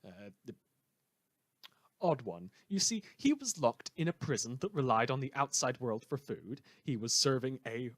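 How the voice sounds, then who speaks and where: A man's voice: mid-range voice, big pitch swings, moderate pace, fairly bright tone, no room sound. A man playing a sarcastic, indignant radio host in a superhero audio drama, delivering a satirical monologue with theatrical emphasis. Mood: wry. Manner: sardonic, theatrical emphasis, mock-hesitant asides.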